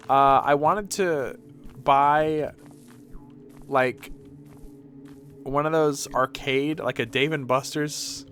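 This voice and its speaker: A man's voice: mid-range voice, fairly bright tone. A man streaming video games, chatting casually in a rambling way with some swearing. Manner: rambling, profane. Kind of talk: casual livestream chat over gameplay.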